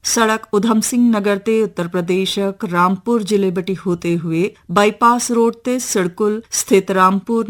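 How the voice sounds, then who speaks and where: A woman reads a regional radio news bulletin from a script. She has a Kumaoni accent and a mid-range pitch, presenting as a news reader.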